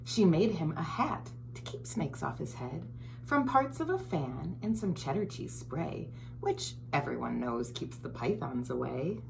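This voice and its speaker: A woman, a school board member, reading a children's picture book aloud in an expressive, sing-song storytelling manner, sometimes dropping to a whisper. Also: low-pitched, a little slow, vocal fry, noisy background.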